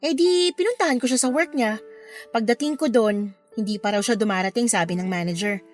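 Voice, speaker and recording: Actress as a high-voiced, wounded young Filipina. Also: female voice, a little fast, soft background music, natural voice. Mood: aggrieved recounting. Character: hurt young woman confiding about cheating boyfriend. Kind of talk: Filipino audio drama dialogue.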